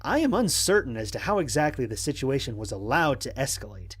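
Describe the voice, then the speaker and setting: A man playing a stranded space explorer who narrates his mission log in a measured, formal, dryly humorous way. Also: mid-range voice, sing-song, slightly rough. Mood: measured, analytical. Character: stranded male space explorer, analytical, wryly exasperated. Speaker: narrator of an audio drama. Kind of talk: scripted first-person mission log narration.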